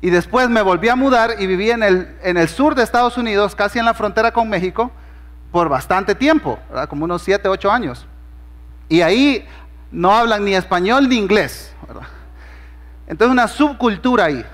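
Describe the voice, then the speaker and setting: A man's voice: sits high, lively intonation, moderate pace, a bit bright, long reverb tail. A man with a Honduran Spanish accent preaching a sermon as pastor, conversational and humorous, acting out bits of dialogue in his stories. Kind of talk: sermon with storytelling.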